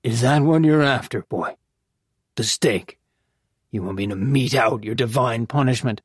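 A gruff, reclusive priest, played by a male audiobook reader, curt, scornful and easily irritated. The voice is kept low-key and sounds very dry and close. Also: measured pace, dry recording. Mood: irritated, menacing. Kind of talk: audiobook character dialogue.

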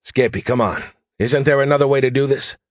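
A man's voice: mid-range voice, fairly even intonation, a little fast, no echo, clean studio sound, voice pushed up, close to an everyday voice. A man voicing an earnest, casual male military commander in an audiobook, informal and uneasy. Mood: pleading. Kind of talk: audiobook character voice.

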